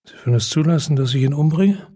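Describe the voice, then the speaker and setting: Radio drama male lead, played by an actor: unhappy, vengeful loner, mellow rough-edged voice, terse and guarded delivery. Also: fairly high pitch, quick pace, close to an everyday voice. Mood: guarded, skeptical. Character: world-weary, unhappy, distrustful man bent on revenge. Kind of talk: German radio drama acting.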